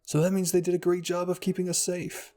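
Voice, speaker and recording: A man voicing a stubborn, excitable young boy in an anime fan story, emphatic and argumentative. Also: high-pitched, a little fast, close to an everyday voice.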